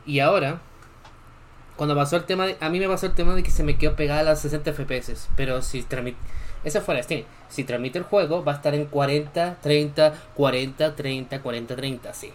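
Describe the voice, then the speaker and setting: A man's voice: a little high, fairly even intonation, brisk, soft background music. A young man from Chile, a streamer reading game patch notes aloud and chatting casually with viewers between points. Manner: casual, chatty asides between read-out items.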